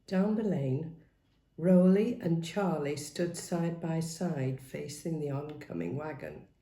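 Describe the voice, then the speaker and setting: Storyteller: middle-aged woman, measured and dramatic, very lively intonation, roomy home recording. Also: deep voice. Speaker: author reading their own book aloud.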